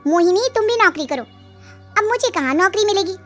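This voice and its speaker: Woman as fed-up young wife, very high voice, whiny, complaining, story cartoon, music behind. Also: lively intonation, normal speed, fairly bright tone. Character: fed-up young married daughters complaining to their mother.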